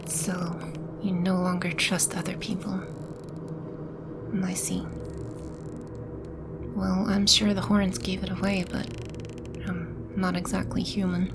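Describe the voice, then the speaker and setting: ASMR roleplay: gentle, protective she-demon, played by a woman. Unhurried, breathy, fry-edged, whispery and reassuring. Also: lowish voice, little pitch movement, noisy background. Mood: concerned, guarded. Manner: soft, unhurried, soothing reassurance. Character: gentle protective female demon sheltering a lost child. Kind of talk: ASMR roleplay, soft-spoken with whispery passages. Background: ambient sound effects.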